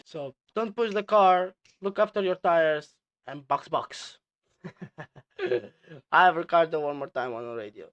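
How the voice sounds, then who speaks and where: A man who is a non-native English speaker hosting casual commentary, explaining things in a halting, repetitive way. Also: fairly high pitch, fairly bright tone, no echo, highs cut off. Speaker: host reviewing team radio clips.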